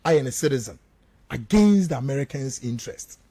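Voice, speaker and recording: A man's voice: mid-range voice, animated, brisk, dark tone, husky. A Ghanaian man who speaks Twi natively, giving impassioned political radio commentary in an emphatic way, driving points home with rhetorical questions. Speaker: political commentator, studio guest.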